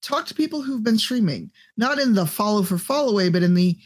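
A woman with a deep voice, a podcast guest and streamer giving blunt, profane advice in casual chat. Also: animated, brisk.

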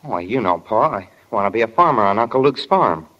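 Animated, sheepish teenage boy. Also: fairly low pitch, a little fast. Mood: sheepish, eager. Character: eager, sheepish sixteen-year-old farm-minded boy. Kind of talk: 1940s radio drama acting. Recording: dull, reverberant 1940 radio recording.

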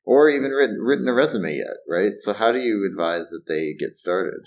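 Upbeat lower-voiced male podcast host. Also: animated, brisk. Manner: upbeat, casual, uses "right?" and "like". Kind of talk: podcast hosting and interviewing.

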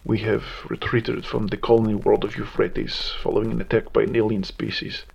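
Grim gravelly commander, dull lo-fi log. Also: male voice, lowish voice, little pitch movement, a little mellow, full character voice. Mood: grave, formal. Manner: solemn, measured, military. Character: grim veteran male security commander, weary and dutiful. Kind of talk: formal recorded log in audio drama.

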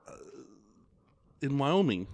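A man's voice: medium pitch, very animated, slow, a little mellow, no room sound. A man playing a hungry suspect under interrogation who stammers and dodges questions. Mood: defensive. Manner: hesitant, stammering, defensive. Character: hungry, evasive young male suspect.

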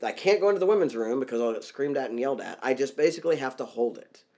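Man, podcast co-host, blunt and profane, easily worked up, average pitch. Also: animated, fast talker, darkish tone. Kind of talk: casual podcast chat.

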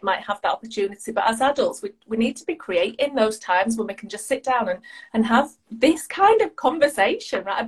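English woman, guest on a call, breathy tone, higher pitch, enthusiastic. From United Kingdom. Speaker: guest coach. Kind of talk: casual chat.

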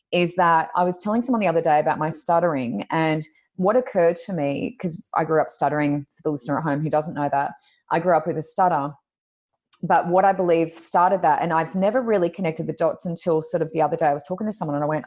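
A woman with a loud voice, a podcast co-host telling a personal story in casual conversation and acting out her own thoughts. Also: low-pitched, a little fast.

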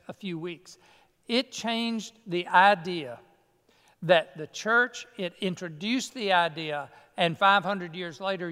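A man pastor preaches in a measured, emphatic way. His voice is high and resonant. It carries with a long reverb through a church sanctuary. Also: a bit bright, smooth. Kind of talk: sermon. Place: church sanctuary near Atlanta.

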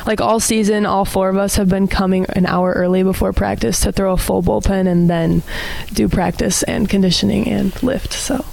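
A young woman with plenty of vocal fry in a medium-pitched voice. She speaks as a student athlete on a radio show, casual and informal. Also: fairly even intonation, normal speed, darkish tone, poor audio. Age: young adult. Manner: informal, youthful phrasing. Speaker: college softball player.

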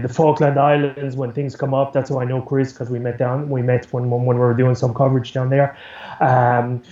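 An Irish man talks casually as an interview guest, rambling and doubling back on his words. His voice is mellow, on a roomy, dull-sounding remote link. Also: medium pitch. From Ireland. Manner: rambling, repeats words as he goes. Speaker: interview guest, news agency producer.

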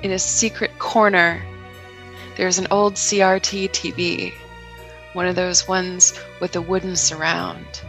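A woman performing improvised scene-setting narration in a deliberate manner. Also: on the low side, flat delivery, a bit bright, soft background music. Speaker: improv comedy podcast performer.

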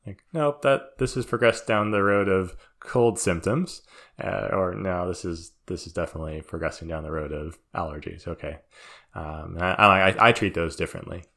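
Man, very deep voice, podcast co-host, thoughtful, reasons aloud. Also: moderate pace, vocal fry, bright, forceful tone. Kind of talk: casual chat.

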